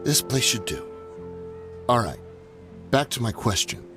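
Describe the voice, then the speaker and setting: An actor playing a nervous, wheedling ex-mercenary weapons smuggler in a video game dialogue. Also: male voice, medium pitch, very animated, measured pace, music in the background. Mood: hushed.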